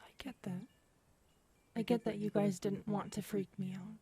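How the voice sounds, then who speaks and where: A woman acting in an ASMR audio roleplay as a gentle, shaken specialist who confides haltingly and softly. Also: mid-range voice, steady tone, creaky, husky. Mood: grieving. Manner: soft-spoken, hesitant, halting.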